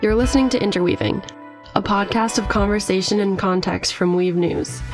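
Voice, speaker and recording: Female, medium pitch, scripted podcast announcement read over clear guitar backing. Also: moderate pace, darkish tone. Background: guitar music.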